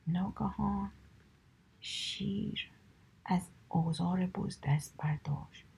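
Husky whispering woman reading fables. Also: lowish voice, low-quality recording. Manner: soft, steady whisper. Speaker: storyteller.

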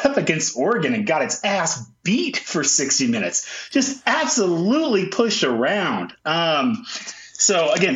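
A man's voice: a little high, fairly bright tone, echoey room, highs cut off. A man from Memphis co-hosting a sports podcast, talking casually in a nasal voice. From Memphis, USA. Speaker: co-host.

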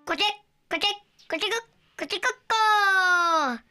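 A child acting a sad, polite old rooster who crows in drawn-out calls in a children's radio play. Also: extremely high pitch, pushed and bright, faint music behind, heavily acted. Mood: plaintive. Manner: drawn-out crowing, polite speech.

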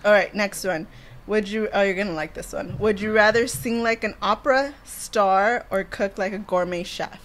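A woman with a raspy voice co-hosting a casual podcast with her husband, laid-back and teasing. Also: medium pitch, normal speed. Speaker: podcast co-host. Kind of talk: casual chat.

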